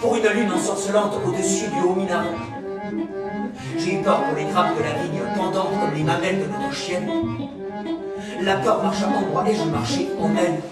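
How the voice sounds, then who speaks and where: A man's voice: high-pitched, fairly even intonation, normal speed. Man declaiming poetry in an echoey space. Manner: declaiming, building to cries and near-singing. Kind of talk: dramatic poetry recitation. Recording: echoey and distant, over guitar and violin.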